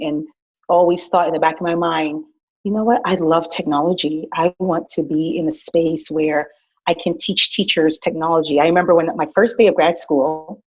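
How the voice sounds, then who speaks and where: Middle-aged woman telling her career story, chatty w lots of ums, lowish voice, muffled lo-fi sound. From Guyana. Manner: lively, chatty, many fillers and restarts. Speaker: director of innovation at a school. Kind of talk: personal leadership story, casual. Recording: dull lo-fi recording.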